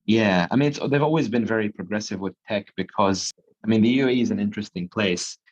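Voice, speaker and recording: A young adult man from Dubai, a surgeon, answering podcast interview questions in a measured, explanatory way with frequent restarts. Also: lowish voice. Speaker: guest, surgeon. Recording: dull lo-fi sound.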